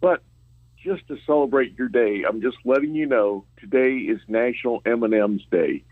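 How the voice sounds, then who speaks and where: A man's voice: mid-range voice, moderate pace, dry recording. A man phoning a radio show with casual chat over a telephone line. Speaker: radio phone-in caller. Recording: dull band-limited phone line.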